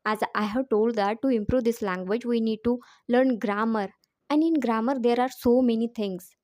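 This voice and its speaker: Female English tutor, non-native speaker, high soft-toned voice, explanatory and repetitive for emphasis. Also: moderate pace, no room sound. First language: not English. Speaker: English teacher giving advice. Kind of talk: informal spoken lesson drawing on personal experience.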